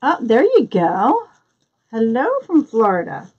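A woman with a Philadelphia accent talks slowly through a live craft demonstration, her voice a little husky. She chats along and greets viewers by name between steps. The recording sounds dull and lo-fi. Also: fairly high pitch, huge pitch swings, darkish tone. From Philadelphia area, US. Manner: chatty, greets viewers by name while working. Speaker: craft tutorial host.

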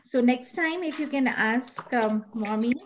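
A middle-aged woman teaching an online preschool class on a video call, animated and coaxing, singing out animal sounds for the children. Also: fairly high pitch, measured pace, a little hiss or hum. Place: classroom-like room with posters, indoors.

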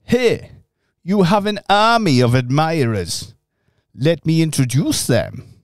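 Guy acting in a radio panto, earnest, lively pitch, slow, dry close sound. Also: dry recording. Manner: earnest, expressive storytelling. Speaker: pantomime narrator and actor.

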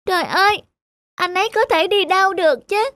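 An anxious, very high cartoon little girl. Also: fairly quick, fairly bright tone, dry recording. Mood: worried. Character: anxious, devoted little sister, a young girl. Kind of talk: children's cartoon dub.

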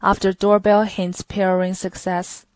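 A woman reading a scripted instructional product tutorial in a flat, even delivery. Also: mid-range voice, measured pace, dry recording.

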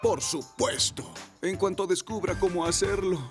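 A man's voice: high voice, steady tone, a little slow. A man voicing a kindly, earnest father motorcycle in a cartoon dub. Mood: earnest, unsure. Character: kindly adult male rescue motorcycle, a father. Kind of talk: Spanish-language cartoon dub acting. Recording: cartoon music under the voice.

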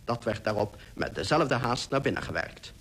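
A man's voice: mid-range voice, expressive, normal speed, fairly bright tone. Polar explorer telling his tale in a radio play, literary and descriptive, medium voice, slight noise. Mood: vivid, descriptive. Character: male polar explorer recounting his expedition. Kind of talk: scripted radio drama diary narration. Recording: faintly noisy old radio recording.

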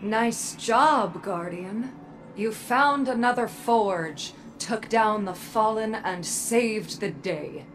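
An actress playing a proud, guarded robot armorer in a video game, speaking in a measured, cool, disdainful manner. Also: female voice, medium pitch, natural voice. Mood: bitter, guarded. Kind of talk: video game character acting. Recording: game music under the voice.

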